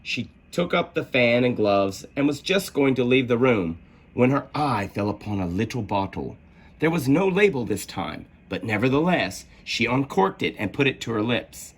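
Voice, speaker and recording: A man reading a children's storybook aloud as an expressive storyteller. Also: medium pitch, normal speed.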